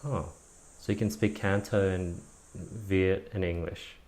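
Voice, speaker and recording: A man's voice: deep voice, steady tone, slow, darkish tone. A man hosting an interview, asking curious, probing questions and often restarting his sentences. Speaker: podcast host.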